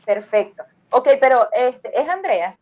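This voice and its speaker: A woman's voice: a little high, very animated, measured pace. Venezuelan woman lecturing over lo-fi voice chat. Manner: explanatory, addresses students directly with everyday examples. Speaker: university lecturer. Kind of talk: online class lecture. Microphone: voice chat on a phone or computer. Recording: dull lo-fi voice chat audio.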